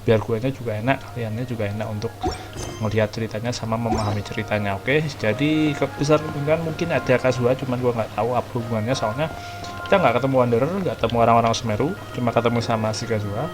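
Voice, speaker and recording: A man's voice: medium pitch, rapid-fire. A young man with an Indonesian accent giving casual, chatty gameplay commentary, thinking aloud as a streamer. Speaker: gaming streamer. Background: game music and dialogue.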